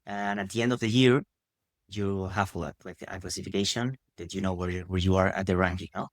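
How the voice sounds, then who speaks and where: A man's voice: low voice, fairly even intonation, brisk, no echo. A man, a podcast guest explaining things at length and rephrasing as he goes, often adding "no?" at the end of a thought. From Spain. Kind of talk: casual interview answers.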